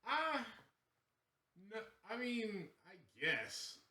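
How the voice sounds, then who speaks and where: A man's voice: sits high, very animated, extremely slow, sharp tone, recorded from a distance. A man chatting casually about sports as a co-host on a talk show. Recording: roomy, off-mic, faint background noise.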